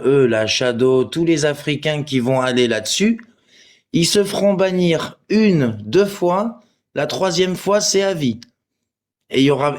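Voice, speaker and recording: A man, crude and abusive, arguing with commenters on a livestream and swearing freely. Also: a little high. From Portugal. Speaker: live stream participant. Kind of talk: livestream chat replying to commenters.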